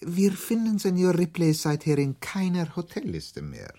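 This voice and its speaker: An actor playing a sly, courteous Italian police investigator in a radio play, probing with suave politeness. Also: male voice, sits high, huge pitch swings, moderate pace, warm tone, full character voice.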